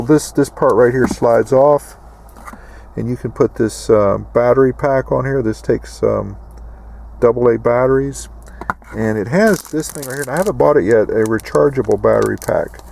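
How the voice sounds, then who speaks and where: Low, gravelly American man, folksy and rambling. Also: a little mellow. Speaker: prepper video host. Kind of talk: casual explanatory product walkthrough. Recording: noticeable background vehicle and ambient noise.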